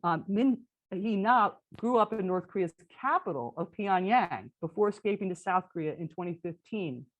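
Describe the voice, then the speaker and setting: A woman's voice: medium pitch, lively intonation, normal speed, dull audio. A woman moderating a panel event, introducing a speaker in a casual way, with small asides and restarts.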